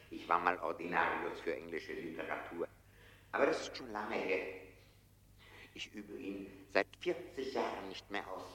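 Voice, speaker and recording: A man's voice: deep voice, sharp tone, echoey room, exaggerated voice. An older man acting a courteous old retired literature professor in a 1970s German radio drama.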